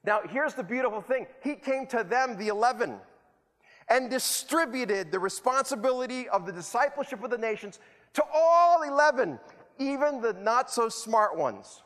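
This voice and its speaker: A man's voice: extremely high pitch, expressive, normal speed, a bit bright. A man from El Salvador, preaching a sermon with rhetorical repetition and self-deprecating humor. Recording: long hall reverb.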